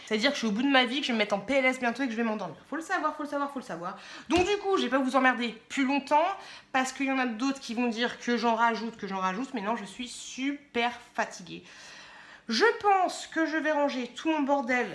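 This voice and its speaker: A woman's voice: a little high, fairly quick. A woman chatting casually to camera for a vlog, playful and self-mocking, often repeating her phrases as she rambles. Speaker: vlogger.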